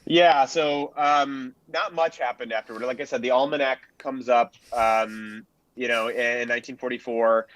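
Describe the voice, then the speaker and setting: A man's voice: mid-range voice, steady tone, normal speed, fairly bright tone. A man speaking as a panel historian, explaining things casually and informally. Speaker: panelist, film and radio historian. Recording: dry, slightly dull lo-fi mic.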